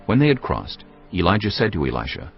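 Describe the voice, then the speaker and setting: A man narrating scripture as a scripted drama, solemn and measured. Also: sits low, sing-song, gravelly. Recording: dull lo-fi sound, music underneath. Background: faint music.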